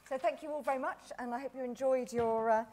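A woman chairing a live talk in a conference room, brisk as she closes the questions and thanks the audience. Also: sits high, fairly even intonation, mellow. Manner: brisk, many short "mm" backchannels. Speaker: event chair. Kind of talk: chairing a Q&A, winding up.

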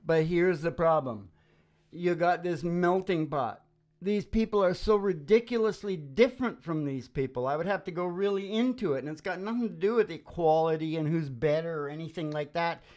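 A man's voice: fairly high pitch, moderate pace. A middle-aged man ranting casually and emphatically, often checking that the listener agrees, speaking at a stand microphone in a small room. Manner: emphatic, excitable, seeking agreement with tag questions. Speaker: live music show host. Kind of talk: opinionated casual rant. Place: small dim wood-panelled room.

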